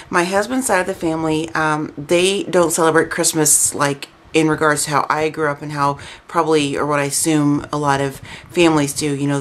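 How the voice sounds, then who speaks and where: A woman in her thirties or forties, hoarse and stuffy with a cold, chatting casually and rambling about crafts at home. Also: low voice. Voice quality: raspy. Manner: chatty, rambling, lots of "um" fillers. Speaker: crafting vlogger. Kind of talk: casual chat to camera.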